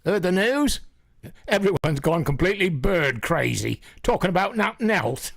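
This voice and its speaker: An actor playing a jovial, scoffing farmer neighbour, hearty and dismissive, in a vintage-style radio drama. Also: male voice, sits high, big pitch swings, normal speed, gravelly, fairly bright tone, no room sound, studio quality, heavily acted. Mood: hearty, cocky.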